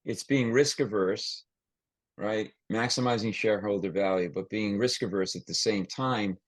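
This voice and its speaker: American man, low voice, dry close sound, podcast host, emphatic, blunt, repeats and restarts phrases. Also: normal speed, no echo. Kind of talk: conversational podcast interview.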